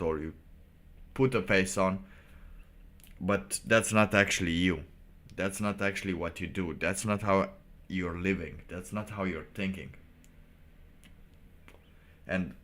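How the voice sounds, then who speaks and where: A man with a very low voice talks off the cuff like a streamer giving commentary. He philosophizes in a halting, rambling way, with a blunt, irreverent edge. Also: a bit bright. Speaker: live streamer reacting to motivational videos.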